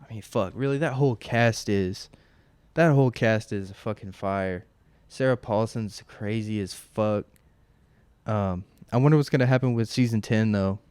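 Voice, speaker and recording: A man's voice: lowish voice, moderate pace, a little mellow, smooth, dry recording. A man in his twenties hosting a casual solo podcast from home, rambling and profane, full of fillers and restarts. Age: 20s. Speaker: podcast host. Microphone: close mic at home.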